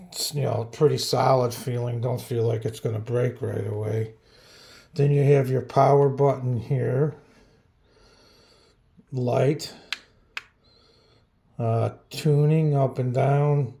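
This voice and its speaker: A man giving a casual, unscripted product review in a halting, offhand, plain-spoken way. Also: mid-range voice, steady tone, gravelly, warm tone.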